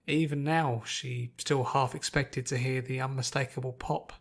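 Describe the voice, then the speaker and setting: Measured man narrating fiction, medium pitch. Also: fairly even intonation, vocal fry. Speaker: audiobook narrator.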